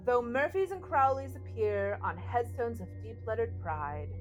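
A woman speaks as a podcast co-host with a high, very animated voice. She sounds playful and theatrical, and faint music sits behind her. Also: unhurried. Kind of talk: casual chat, dramatic poem reading.

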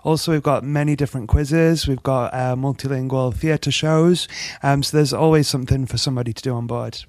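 A man's voice: mid-range voice, steady tone, darkish tone, no echo, studio quality. An English man, a cruise ship's international host, answering interview questions politely with frequent ums. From England. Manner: polite, measured, with many ums and uhs. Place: aboard a cruise ship.

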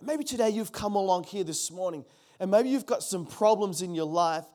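A man's voice: sits high, lively intonation, normal speed, darkish tone. Emphatic man preaching. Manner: emphatic, repeats key phrases, calls on the congregation. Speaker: pastor. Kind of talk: sermon.

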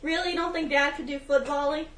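A woman's voice: high-pitched, fairly even intonation, quick pace, a bit bright, roomy sound, recorded from a distance. A woman taking part in a casual family chat, teasing the others.

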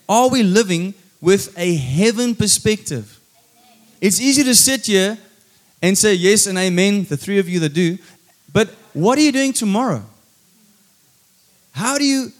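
Male preacher, high-pitched voice, emphatic delivery, repeated phrases, teasing rhetorical questions, sermon.

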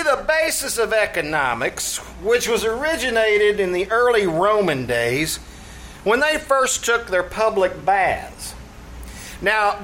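A man's voice: high-pitched, fairly bright tone, exaggerated voice. A man playing a brash tavern manager in old-time radio comedy, lecturing pompously in streetwise slang. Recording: faintly noisy live theatre stage recording.

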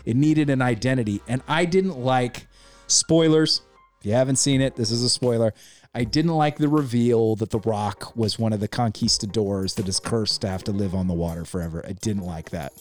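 A man's voice: medium pitch, normal speed, soft background music. Opinionated man ranting about a movie. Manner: opinionated, rambling, animated retelling. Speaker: podcast co-host. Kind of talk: casual movie review chat.

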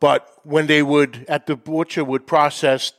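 A man's voice: on the high side, level tone, a little slow, fairly bright tone. A man from New England hosting a podcast, chatting informally and explaining things in plain conversational terms. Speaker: podcast host. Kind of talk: casual chat.

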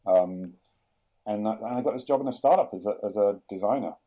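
A middle-aged man with a London English accent, speaking in a low, very dark and mellow voice. He talks casually in a podcast interview, hesitating often and circling back with fillers as he gathers his thoughts. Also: fairly quick. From England. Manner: hesitant, many fillers and restarts. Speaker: guest, product executive.

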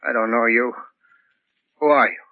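An actor playing a deranged, lovesick man in a hospital bed, rambling and repeating himself haltingly in a 1940s radio drama. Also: medium pitch, steady tone, lightly acted. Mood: dazed, weary. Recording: dull lo-fi 1940s radio recording.

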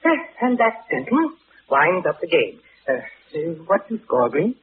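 An actor playing a gloating mad arsonist who poses as a kindly gentleman, in a theatrical old-time radio drama style. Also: high-pitched, sing-song, moderate pace, phone-quality sound. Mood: genial. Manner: theatrical, rapturous, swinging from murmur to cry. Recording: noisy, band-limited vintage radio recording.

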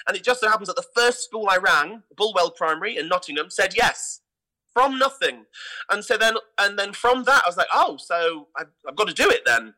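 A middle-aged man with an English accent, talking in an animated, emphatic way as an interview guest and repeating words for emphasis. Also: sits high, brisk, rough recording. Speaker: theatre company artistic director, interview guest. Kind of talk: animated anecdotal interview talk.

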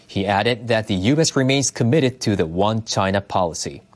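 Male newsreader, lowish voice, scripted bulletin delivery. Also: animated, normal speed. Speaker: news reader. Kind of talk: scripted news narration.

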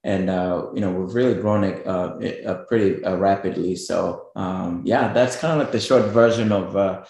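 A man's voice: deep voice, moderate pace, creaky, darkish tone, very echoey. A middle-aged man from Kenya with a Kenyan accent, a guest in a radio interview, talking casually with many fillers and restarts. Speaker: guest business owner.

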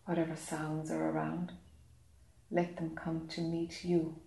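A woman leading a guided meditation, speaking slowly and softly with long pauses. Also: low voice, monotone, vocal fry. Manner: slow, soft-spoken, long pauses. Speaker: meditation teacher. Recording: echoey room.

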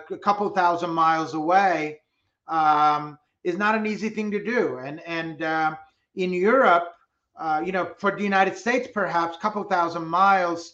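Measured man from Turkey, roomy lo-fi sound. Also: on the high side, a bit bright. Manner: measured, reflective, many filler pauses. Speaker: guest, public policy professor. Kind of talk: interview answers.

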